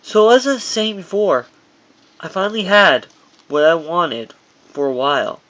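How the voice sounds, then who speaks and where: Man with a higher-pitched voice reading memoir. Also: animated, unhurried, fairly bright tone. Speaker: author reading own memoir. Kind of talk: audiobook narration of a first-person memoir.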